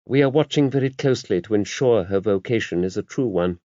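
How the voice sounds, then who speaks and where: A man voicing an older abbess in an audiobook, a shrewd, gently wry woman who talks in a brisk, genteel, confiding way. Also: on the low side, no room sound, close to an everyday voice. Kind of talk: audiobook character voice. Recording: dull, muffled lo-fi audio.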